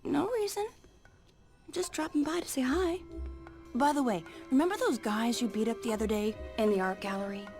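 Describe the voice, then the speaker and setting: A woman's voice: fairly high pitch, normal speed, darkish tone, faint music behind, close to an everyday voice. An actress playing a sly, cool, flirtatious woman in a video game, mocking and playful. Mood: teasing. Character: sly teasing adult female, cool and flirtatious.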